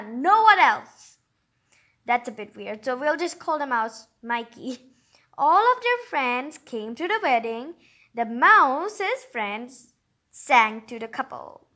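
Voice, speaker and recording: Female read-aloud host, very high and lively, informal storybook reading with chatty commentary.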